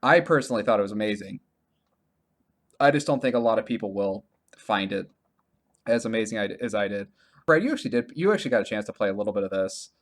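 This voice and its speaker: A man co-hosting a podcast, talking casually about video games in a halting way, often restarting his sentences and leaning on filler words. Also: on the low side, expressive. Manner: halting, self-correcting, heavy use of "like". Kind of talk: casual conversational game review chat.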